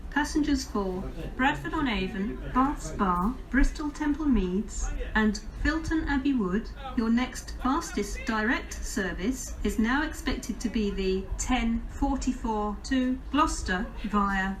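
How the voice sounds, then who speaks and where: A woman with an English accent reading formal, measured automated railway announcements in pieced-together phrases over a station public address system. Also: a little high. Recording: distant station PA speakers, clear background noise.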